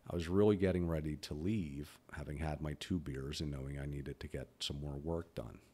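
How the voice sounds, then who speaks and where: A man's voice: very deep voice, fairly even intonation, normal speed, warm tone, breathy edge. A man in his fifties who stutters, talking bluntly and candidly about his own life as a podcast host. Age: 50s. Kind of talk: personal reflective monologue.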